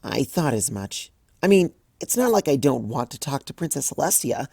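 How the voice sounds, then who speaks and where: An anxious young unicorn mare talks things through in an earnest, worried tone, as a reader puts her on. It stays near the narrator's own voice, a touch quieter, with a dry close sound. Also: mid-range voice, very animated, moderate pace, creaky, husky, no echo, pitched above the performer's own voice, heavily acted. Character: anxious studious young female unicorn pony.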